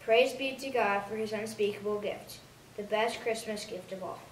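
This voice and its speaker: A woman reading a Christmas devotional aloud at an earnest, even pace. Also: mid-range voice, normal speed. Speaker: devotional reader. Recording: roomy, distant camera sound with faint hiss.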